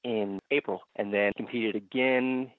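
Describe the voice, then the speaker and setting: A man's voice: on the low side, steady tone, measured pace, dry recording. A young man from Phoenix, a guest on a podcast, telling his story in an eager, upbeat way. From Phoenix, Arizona. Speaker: guest, urban farmer. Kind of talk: casual interview answers. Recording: tinny phone-line sound.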